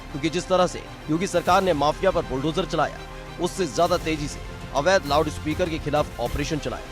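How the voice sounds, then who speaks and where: High-pitched man narrating dramatic news over music. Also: brisk. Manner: punchy, emphatic delivery. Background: music bed.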